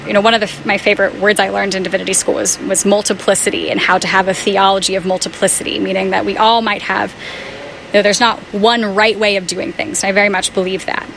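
A woman on staff at a faith community house, answering interview questions in an earnest, thoughtful way with soft laughs. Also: mid-range voice, flat delivery, fast talker, vocal fry, slight background noise, low-quality recording.

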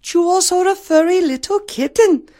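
Vapid royal attendants for a fairy tale: piercingly high, squeaky, mimicking. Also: female voice, moderate pace, mellow. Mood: parroting. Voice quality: shrill, squeaky. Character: twittering ladies-in-waiting, parroting their princess.

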